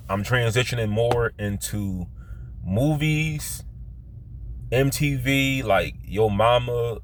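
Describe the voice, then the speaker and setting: A man with a Philadelphia accent telling personal stories casually, halting and often circling back to repeat himself. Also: fairly low pitch, slight background noise. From Philadelphia, United States. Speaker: vlogger telling his life story. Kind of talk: casual rambling personal storytelling.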